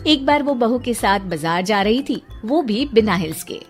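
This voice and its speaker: High-voiced woman narrating a story. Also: animated, moderate pace, slightly rough, faint music behind.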